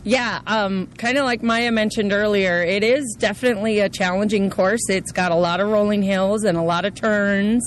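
A middle-aged woman, a cross-country coach and parent, speaking in a friendly, measured way with fillers and light laughs as a radio interview guest. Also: mid-range voice, fairly even intonation, slight background noise.

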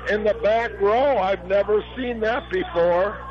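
A middle-aged American man with a hoarse, failing voice gives folksy live play-by-play commentary on a local volleyball game in short phrases. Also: high-pitched, a little slow. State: hoarse, losing his voice from allergies. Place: high school gym. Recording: dull lo-fi radio broadcast sound.

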